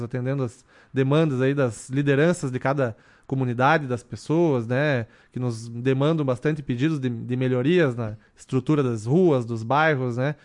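Man, 30s, radio interview guest, measured, many fillers, from southern Brazil. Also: medium pitch, a little mellow. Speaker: city councilman, radio guest.

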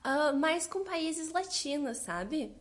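Lively young southern Brazilian woman. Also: high voice, slow. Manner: lively, laughs often, quotes others' reactions. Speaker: guest. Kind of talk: interview answers.

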